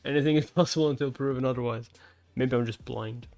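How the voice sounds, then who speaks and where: A man with a mid-pitched voice reads a story aloud as a live reaction host, with music behind him. He is expressive, moving between narration and casual, incredulous comments. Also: quick pace. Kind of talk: live reaction read-along with casual asides. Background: background music.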